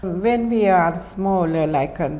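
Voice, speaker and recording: A woman's voice: on the low side, takes it slowly, dark tone, highs cut off. A woman from Kenya telling her life story in an interview, hesitant, with many fillers and restarts. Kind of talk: personal storytelling.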